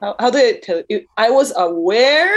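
A woman's voice: fairly high pitch, expressive, moderate pace. A woman speaking as an interview guest, explaining her ideas in a reflective, earnest, conversational way. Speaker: interview guest, dance choreographer.

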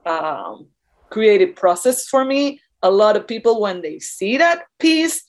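A woman's voice: medium pitch, big pitch swings, unhurried. Thoughtful woman explaining as an interview guest. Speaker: interview guest, dance choreographer. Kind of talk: reflective conversational explanation.

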